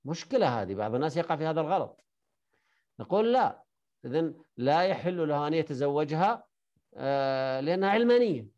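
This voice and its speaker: A man teaching a jurisprudence lesson online, briskly quizzing and correcting his students. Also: a little high, big pitch swings, a little mellow, highs cut off.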